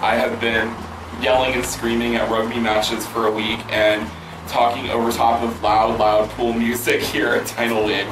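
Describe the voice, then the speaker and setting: A man hosting a vlog, answering viewer questions in casual chat, hoarse with a worn-out voice and rambling with many fillers and restarts. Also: mid-range voice, little pitch movement, moderate pace, noisy background. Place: outdoors near a pool with loud music. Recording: roomy, mic at a distance, loud music behind.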